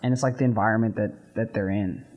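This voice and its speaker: A man's voice: on the low side, fast. A young man with a Texan accent, co-hosting a college radio show in casual, rambling chat. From Texas. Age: young adult. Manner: relaxed, rambling with many "like" fillers.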